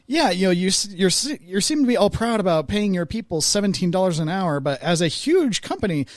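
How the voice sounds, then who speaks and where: A man chatting casually as a podcast co-host, rambling along in a halting way. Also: high-pitched, very animated, no room sound. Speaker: podcast co-host and executive producer. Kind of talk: casual chat.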